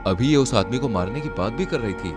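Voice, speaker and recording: A man's voice: mid-range voice, animated, a little fast, over music, close to an everyday voice. A man playing a chatty, easily frightened young traveller in a horror cartoon story. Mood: suspicious.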